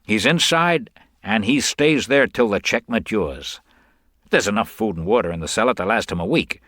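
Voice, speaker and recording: Guy putting on a slick slangy hustler for an audio story, raised voice, bossy, really animated. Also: lowish voice, dry recording, studio quality, pitched above the performer's own voice. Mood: triumphant, bossy. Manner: slangy, fast-talking, pushy. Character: brash, slick con man with slangy bravado.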